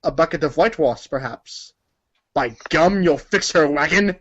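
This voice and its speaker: A man's voice: on the high side, expressive, normal speed. A man voicing a jovial, pompous old-time colonel, reading florid, exclamatory prose in an archaic theatrical manner. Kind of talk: theatrical reading of a humor book. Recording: dull lo-fi audio.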